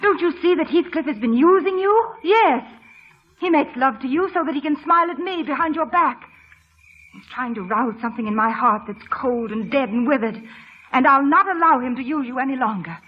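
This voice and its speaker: A woman's voice: high-pitched, lively intonation, a little fast. An actress playing a proud, anguished young married woman in a dramatic, emotionally strained old radio style. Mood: jealous fury. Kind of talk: 1940s-50s radio drama acting. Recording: dull old radio broadcast with music.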